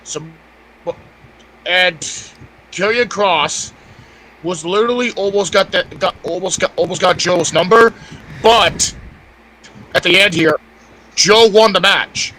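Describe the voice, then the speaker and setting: Sharp, high-voiced man, blunt and halting. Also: a little hiss or hum, rough recording. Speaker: podcast panelist. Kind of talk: casual opinionated wrestling chat.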